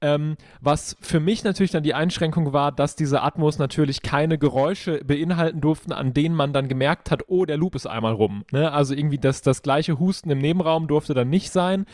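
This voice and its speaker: A man's voice: fairly high pitch, brisk, hardly any pauses, darkish tone, no room sound, studio quality. A man talking as a podcast guest and sound designer, explaining his work in casual chat.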